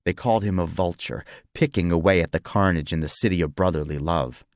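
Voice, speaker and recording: A man's voice: deep voice, fairly quick, no room sound, studio quality. A man reading scripted historical fiction as a dramatic audiobook narrator.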